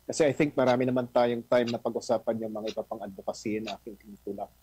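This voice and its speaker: A man's voice: medium pitch, fairly even intonation, rapid-fire, dark tone, slightly rough, no echo. A man in his fifties from Northern Luzon, answering an interview casually on a video call, with many fillers. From Northern Luzon, Philippines. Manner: conversational, mixing languages, many fillers. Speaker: senate candidate guest. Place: home room, indoors.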